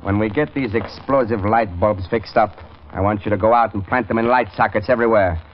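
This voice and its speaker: A man plays a cold, scheming crime boss from a vintage radio thriller. He speaks low, curt and commanding, with open menace. The recording is dull and narrow. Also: a little fast, lightly acted. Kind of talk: old-time radio drama acting.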